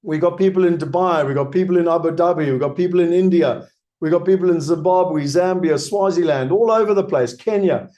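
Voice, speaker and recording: A man's voice: on the high side, brisk, mellow. A man with a South African accent hosting a live webinar, answering audience questions in an emphatic way that repeats key points. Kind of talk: live webinar Q&A sales pitch.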